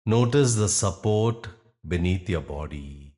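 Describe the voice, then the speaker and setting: A man guiding a meditation in soothing, measured phrases with long, deliberate pauses. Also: low voice, expressive, dark tone. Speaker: meditation guide. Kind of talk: guided meditation narration.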